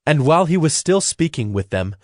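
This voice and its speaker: A man narrating a dramatized scripture reading in a measured, solemn manner. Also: a little high, very animated, dry recording, studio quality. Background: soft background music.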